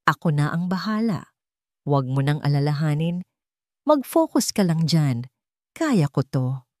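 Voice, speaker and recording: A woman acts out a protective young father in an audiobook drama. He forces a normal, reassuring tone over his anguish. The sound is soft-edged and dry. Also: sits low, big pitch swings, a little slow, no echo. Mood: tired, reassuring. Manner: restrained, reassuring, forcing a normal tone. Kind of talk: dramatic audiobook character dialogue.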